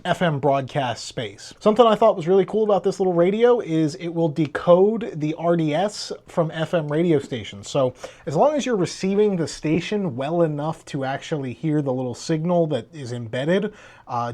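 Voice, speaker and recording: A man's voice: a little high, darkish tone. A man giving a casual hands-on gadget review in a conversational, explanatory way. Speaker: tech reviewer.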